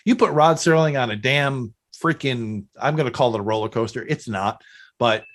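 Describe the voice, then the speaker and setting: A man's voice: medium pitch, moderate pace. Salty, opinionated male podcast host. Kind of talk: casual interview chat.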